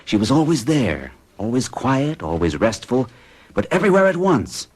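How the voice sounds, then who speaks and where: A man's voice: mid-range voice, huge pitch swings, normal speed, a little mellow, breathy edge. An actor playing a bewitched middle-aged bachelor in an old-time radio drama, speaking huskily with intense, hesitant confessional delivery. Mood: uneasy, fascinated. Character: forty-one-year-old orderly bachelor, bewitched and bewildered.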